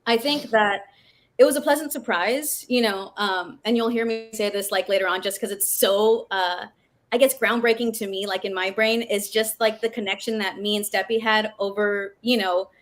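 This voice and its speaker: A woman with a mid-pitched voice answers an interview question in an easygoing way. She thinks aloud, pausing on fillers as she goes. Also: steady tone. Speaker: actor. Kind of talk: casual interview chat.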